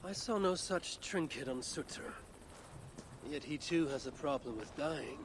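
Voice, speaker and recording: A proud, battle-hardened deity in a video game cutscene, speaks with wry menace and the habit of command. Clear ambient noise runs behind the voice. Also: female voice, low-pitched, animated, measured pace. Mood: threatening.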